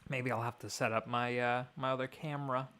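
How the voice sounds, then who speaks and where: A man's voice: medium pitch, steady tone, brisk, clear, resonant tone. Wry man rambling on a livestream. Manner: wry, mock-grand, thinking out loud. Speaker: streamer.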